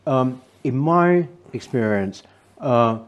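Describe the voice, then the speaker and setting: A man's voice: mid-range voice, expressive, slow pace, clear, resonant tone. An older man hosting an interview, asking questions with frequent hesitant restarts. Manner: hesitant, many restarts and affirmations. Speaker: interview host.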